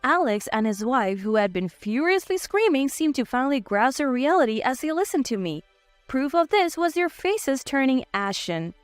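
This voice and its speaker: A woman with a high voice narrates a scripted first-person drama. The recording is close and dry, with faint music running underneath. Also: big pitch swings, normal speed, no echo. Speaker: story narrator. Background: faint background music.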